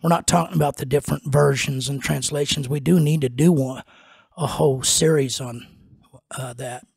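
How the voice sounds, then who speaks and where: An older man, a pastor and podcast host, teaching in a slow, rambling way with many fillers and restarts, on a studio mic in a small wood-paneled room. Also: mid-range voice, steady tone, creaky, a little mellow, husky, dry recording. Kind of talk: unscripted teaching talk. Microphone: studio mic on a boom arm.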